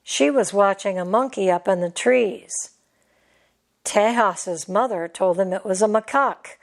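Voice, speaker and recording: A woman's voice: mid-range voice, a little slow. A woman reading a scripted children's story as a storybook narrator. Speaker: storybook reader.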